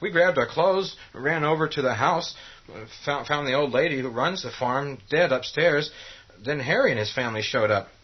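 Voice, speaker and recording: Man playing an earnest, husky young local. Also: on the high side, lively intonation, moderate pace. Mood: earnest, uneasy. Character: plain-spoken, helpful young local man. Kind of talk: horror radio play acting. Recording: dull, muffled audio.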